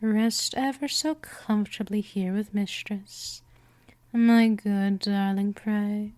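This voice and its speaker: A woman voicing a gentle but possessive vampire mistress in a hypnosis ASMR roleplay, coaxing softly and often slipping toward a whisper. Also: medium pitch, fairly even intonation, no echo, lightly acted. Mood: soothing, lulling. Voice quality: soft, breathy whispering in places. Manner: slow, coaxing, drawn-out delivery.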